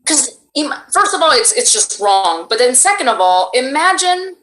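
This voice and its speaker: Woman, Cuban Miami accent, voice actress, expressive and chatty, bright tone, echoey room. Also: high voice, normal speed. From Miami, United States. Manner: animated and expressive, laughs often. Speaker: voice actress and creator. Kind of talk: casual interview chat. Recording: roomy echo.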